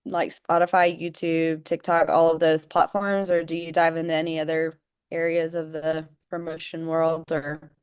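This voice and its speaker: Upbeat woman host with a smooth voice. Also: deep voice, level tone, moderate pace. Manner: upbeat, enthusiastic, lots of affirmations. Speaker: interview host. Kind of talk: casual interview chat.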